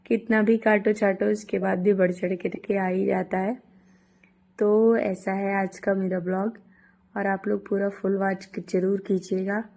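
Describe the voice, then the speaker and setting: A woman in her thirties with a hoarse voice, chatting homely and warmly to her viewers as she narrates a travel vlog. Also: medium pitch, flat delivery, a little slow. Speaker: vlogger.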